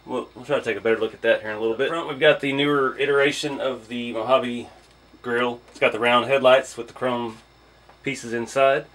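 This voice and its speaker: A man's voice: mid-range voice, steady tone, brisk, fairly bright tone, rough recording. A man giving an informal hands-on first look as a hobby reviewer, thinking aloud as he goes. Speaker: hobby vlogger reviewing an RC truck.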